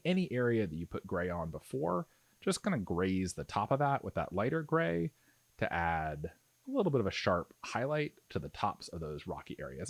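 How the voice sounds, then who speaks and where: Low-pitched man with lively, swooping intonation. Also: no room sound. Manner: informal, explanatory, step by step. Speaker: miniature painting tutorial host. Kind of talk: casual instructional voice-over narration.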